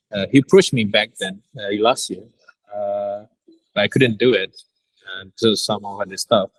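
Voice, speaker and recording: A man speaking casually in an interview as a director, halting and repeating words for emphasis. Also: lowish voice, no echo, poor audio. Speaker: director interviewed about an audio drama.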